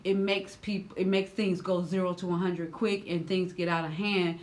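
A loud, expressive woman in her thirties talks straight to the camera in casual, slangy reaction commentary. Her voice swings from low and level to high and sharp when she gets worked up. She is seated indoors, close to the camera. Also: normal speed. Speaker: reaction vlogger.

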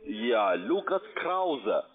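A man who is not a native German speaker making a sales pitch by phone. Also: sing-song, drawn-out words, a crowd in the background. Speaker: cold-calling salesman. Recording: narrow tinny phone line.

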